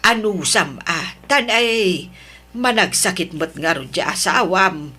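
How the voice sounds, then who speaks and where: Radio drama: a woman playing a coaxing old mom, slow, bright, husky. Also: fairly low pitch, animated, full character voice. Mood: soothing. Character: older mother, teasing and soothing.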